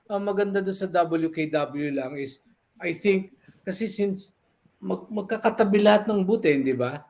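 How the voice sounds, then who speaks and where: A man's voice: sits high, normal speed, darkish tone. An older man with a Filipino accent chatting casually and mixing languages, often seeking agreement as he reminisces as a former radio DJ.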